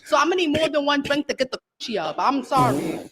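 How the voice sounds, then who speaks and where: A woman's voice: sits high, very animated, fast talker, a bit bright. A woman in her twenties with a New York accent, a dating show contestant talking bluntly and brashly. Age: 20s.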